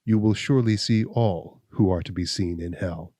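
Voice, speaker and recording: A man voicing a stern, implacable accuser who speaks in a deep, level voice, slow and measured. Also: dark tone, no room sound, clean studio sound, natural voice.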